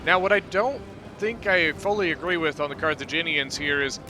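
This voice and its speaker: A man hosting his gaming channel, giving relaxed, chatty commentary on a battle replay, peppered with "you know" and "uh". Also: on the high side, moderate pace, noisy background.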